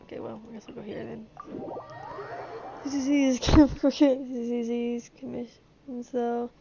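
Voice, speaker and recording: A woman's voice: on the high side, level tone, takes it slowly, creaky. A woman streaming a game, reacting casually in a giggly, informal way. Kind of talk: casual live reaction commentary. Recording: dull, noisy stream microphone. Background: game audio and ambience.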